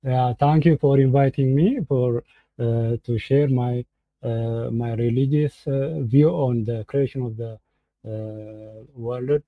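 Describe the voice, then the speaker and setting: A man from Ethiopia with an Ethiopian accent, giving an informal presentation in halting, hesitant English over a remote call. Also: medium pitch, fairly even intonation, no room sound. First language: Amharic. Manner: halting, hesitant English with frequent searching for words. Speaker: guest presenter. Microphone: remote online call. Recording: tinny, phone-like internet call audio.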